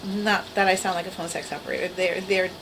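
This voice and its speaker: A woman's voice: lowish voice, little pitch movement, fairly quick, noisy background. A woman in her forties with a small, girlish voice, chatting casually and wryly to her camera at home. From the Bronx, New York. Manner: rambling, self-deprecating, wry. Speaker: vlogger. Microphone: camera mic.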